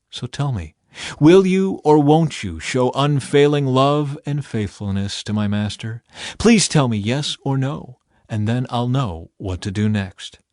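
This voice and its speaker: A man reading scripture as a scripted audiobook narration, with measured, dramatized storytelling delivery. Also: medium pitch, no echo, clean studio sound. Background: background music.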